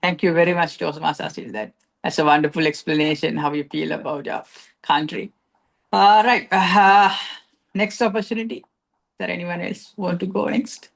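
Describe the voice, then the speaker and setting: Gravelly low woman hosting hesitantly. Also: steady tone, moderate pace. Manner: hesitant, many fillers and restarts. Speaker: table topics master. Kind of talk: hosting a meeting segment.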